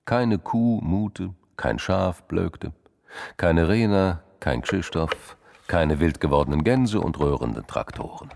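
A man narrating a scripted crime drama in a measured, sober storytelling manner. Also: sits low, animated, gravelly, darkish tone.

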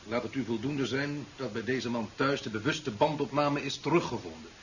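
A man's voice: medium pitch, normal speed, close to an everyday voice. Man acting a stern president, dull hissy recording. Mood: curt, dismissive. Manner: curt, formal, reproachful. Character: stern, impatient male president, cutting and authoritative. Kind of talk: 1970s Dutch radio drama acting.

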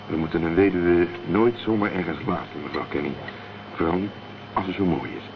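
A man's voice: sits low, fairly quick. A man playing a polite, probing private detective in a Dutch radio drama. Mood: smooth, probing. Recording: dull, noisy old radio recording.

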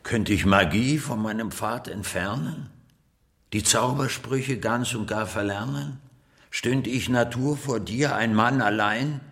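A man's voice: medium pitch, measured pace, creaky, fairly bright tone. Conversational, coaxing male art curator. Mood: reciting. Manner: conversational, coaxing, with rhetorical tag questions. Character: East Berlin museum curator, conciliatory, musing man. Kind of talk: naturalistic German radio drama dialogue.

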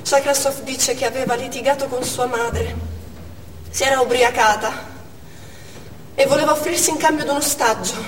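A woman's voice: high voice, fairly even intonation, moderate pace, pauses often, a little hiss or hum, natural voice. An actress on stage playing an anxious, protective mother and ambulance doctor, in dramatic present-tense monologue. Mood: subdued. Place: theatre stage. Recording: echoey theatre from far off, music behind.

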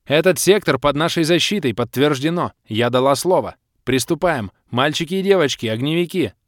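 A man voicing a fierce woman clan leader in a fantasy audiobook, barking crisp battle orders. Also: fairly high pitch, animated, normal speed, no echo, clean studio sound, pitched above the performer's own voice, natural voice. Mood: firm, commanding.

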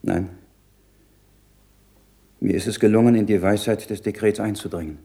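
An actor playing an earnest, troubled learned monk in a radio play, speaking in a measured, solemn, rhetorical way. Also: male voice, on the low side, fairly even intonation, vocal fry, warm tone, breathy edge. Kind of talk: German radio play acting.

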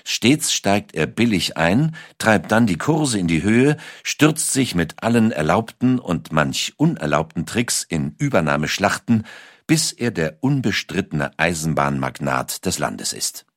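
Low male voice, lively pitch movement, measured dramatic storytelling, scripted documentary narration, dry close sound. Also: dry recording.

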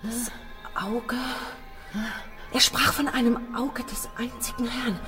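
A woman's voice: a little high, steady tone, takes it slowly, bright, forceful tone, long reverb tail. An actress playing a bold, inquisitive female adventurer in a radio drama, speaking theatrically with urgency. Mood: musing. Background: music.

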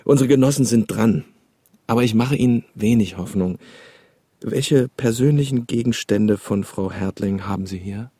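An actor playing a smug East German secret police officer in a radio drama, falsely friendly and quietly menacing. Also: male voice, lowish voice, normal speed, husky, no echo, studio quality. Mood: smugly menacing.

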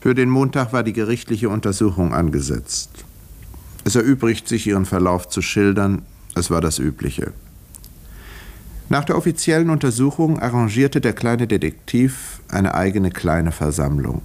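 An actor playing a wry country doctor who narrates a 1950s German detective radio play with dry, measured irony. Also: male voice, lowish voice, sing-song, a little mellow. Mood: matter-of-fact. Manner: dry, ironic, measured storytelling. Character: male English country doctor, wry, observant detective's companion.

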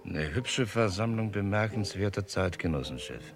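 Wry police detective, music behind. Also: male voice, on the low side, animated, normal speed. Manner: dry, wry. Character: wry, observant police detective, the chief's subordinate. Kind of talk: German crime radio play dialogue.

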